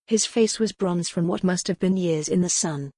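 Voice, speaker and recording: A woman's voice: on the low side, moderate pace, mellow, husky, dry recording, studio quality. A woman reading scripted narration from a historical romance novel as an audiobook narrator.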